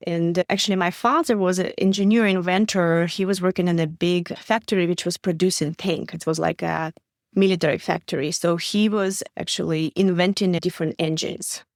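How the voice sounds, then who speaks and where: A woman's voice: lowish voice, steady tone, moderate pace, no room sound, studio quality. A middle-aged woman from Ukraine with a Ukrainian accent and Russian as her first language, answering interview questions in an earnest way with small stumbles and non-native grammar. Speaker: guest, refugee aid director.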